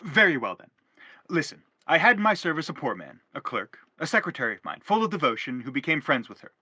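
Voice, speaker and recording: Man playing a bright, forceful, pompous stage father. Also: on the high side, very animated, fast talker, slightly rough, no room sound, studio quality, full character voice. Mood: pleading, self-justifying. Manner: pompous, wordy, insistent. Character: anguished, self-justifying middle-aged father, pompous and exasperated. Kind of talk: theatrical stage drama acting.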